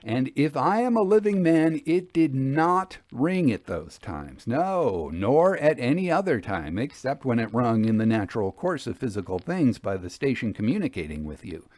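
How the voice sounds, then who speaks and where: A man narrating a classic literary ghost story in an expressive, measured, theatrical manner. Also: mid-range voice, no room sound.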